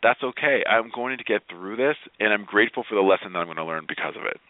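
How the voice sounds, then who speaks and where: A man's voice: lowish voice, expressive, quick pace, no room sound. A middle-aged man talking casually as an interview guest, earnest and emphatic, often repeating his phrases. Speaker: guest, motivational speaker. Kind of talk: casual interview talk with personal anecdotes. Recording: tinny phone-like radio line.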